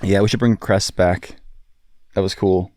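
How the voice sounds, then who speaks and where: A man's voice: deep voice, steady tone, unhurried, darkish tone, dry recording. A man co-hosting a podcast, talking in a laid-back, dry-humored way with frequent fillers. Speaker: podcast co-host. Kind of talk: casual chat.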